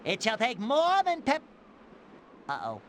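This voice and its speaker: A man's voice: very high-pitched, wildly swooping pitch, unhurried, noisy background, full character voice. A man voicing a sneaky, gleefully menacing hermit crab monster in a cartoon game, singsong and gloating. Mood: smug, faltering. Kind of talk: cartoon video game acting.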